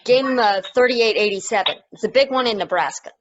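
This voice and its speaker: A woman's voice: a little high, brisk, lightly acted. A woman playing a casual, sports-keen space probe in an amateur play reading. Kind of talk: amateur play table read. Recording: dull, lo-fi audio.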